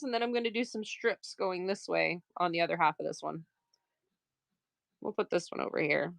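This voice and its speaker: A woman's voice: lowish voice, vocal fry, no echo, clean studio sound. A woman from Maine with a Maine accent, chatting casually and laughing as she sews during a live stream. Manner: chatty, laughs often, self-mocking asides; talks while working, moving around the table. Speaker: quilter streaming live sales.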